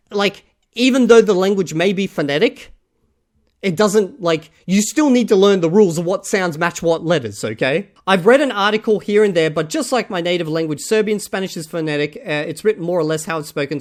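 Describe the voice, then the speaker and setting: A man hosting a reaction video, talking casually and skeptically, with emphatic outbursts and low asides. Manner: skeptical, emphatic, swings between loud outbursts and low mutters.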